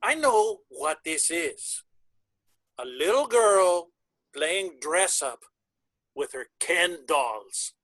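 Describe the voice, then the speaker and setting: A man reading the part of a proud, vain Latin band member in a table read, with a scornful, deliberate manner. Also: on the high side, expressive, a bit bright, no room sound, heavily acted.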